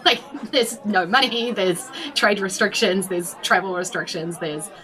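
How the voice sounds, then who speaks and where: A woman's voice: medium pitch, a little slow, fairly bright tone, low-quality recording. A woman with a New Zealand accent narrating a tabletop roleplay game as game master in a chatty, informal way.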